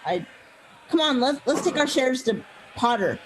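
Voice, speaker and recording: A woman's voice: sits high, lively intonation, moderate pace, a loud crowd, rough recording. A woman playing a worried small-town wife in a radio play. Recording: video call with background voices.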